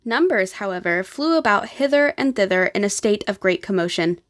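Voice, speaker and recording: A woman reads a children's story aloud as narrator. Her voice sits at an ordinary female pitch, and she delivers the text as scripted storytelling. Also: lively intonation, brisk.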